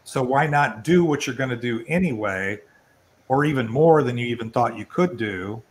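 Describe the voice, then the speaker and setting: A man's voice: mid-range voice, animated, moderate pace. Older man hosting, rambling and conversational. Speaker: host of an online networking event. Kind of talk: informal presentation and question session. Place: online video call.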